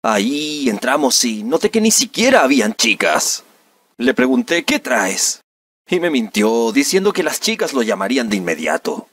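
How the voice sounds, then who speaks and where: A man plays an aggrieved young man giving a press interview in a comedic amateur dub. His husky voice swings widely in pitch, melodramatic and indignant, in neutral Latin American Spanish. It sounds slightly away from the mic. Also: very animated, normal speed, a bit bright, no room sound, clean studio sound, distant mic. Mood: aggrieved recounting. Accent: Latin American neutral dubbing Spanish. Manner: melodramatic, indignant, dubbed-film diction. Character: aggrieved young man recounting a humiliation to reporters.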